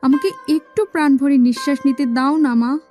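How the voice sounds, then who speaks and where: A woman playing a gentle, fragile young blind princess in a fairy tale cartoon, with a soft, pleading delivery. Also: sits high, fairly even intonation, moderate pace, faint music behind, lightly acted.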